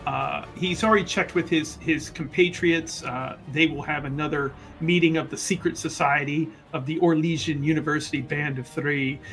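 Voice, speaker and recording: A man's voice: on the high side, fairly even intonation, moderate pace, hardly any pauses, fairly bright tone, soft background music. A man playing a tabletop roleplaying game, casually improvising a description of his character in a rambling, hesitant way.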